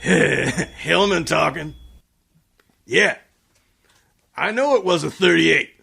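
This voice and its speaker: A man acts a gruff police inspector in an old-time radio detective play. His voice is heavily creaky with a hard, forceful edge, and he talks a little slowly. He is a bully who rattles easily. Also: fairly high pitch, a little hiss or hum, exaggerated voice. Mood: flustered. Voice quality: gravelly, raspy.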